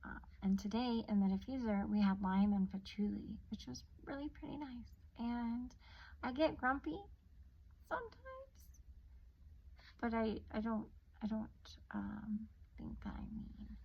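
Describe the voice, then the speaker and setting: A woman reading a children's story aloud like a teacher, expressively drawing out words and adding hushed, chatty asides. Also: a little high, animated, unhurried, breathy edge, highs cut off. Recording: noticeable background noise.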